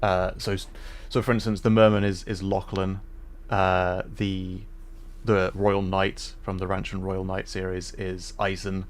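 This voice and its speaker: A man's voice: deep voice, little pitch movement, a little slow. A man hosting a gaming livestream, chatting casually in a polite, hesitant way. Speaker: livestream host, VTuber. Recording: faint background noise.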